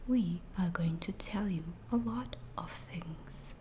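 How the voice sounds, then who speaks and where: A woman voicing a calm, reassuring alien abductor in an ASMR roleplay, speaking in a soft, breathy whisper with halting phrases. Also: on the low side, background noise. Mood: calm, questioning.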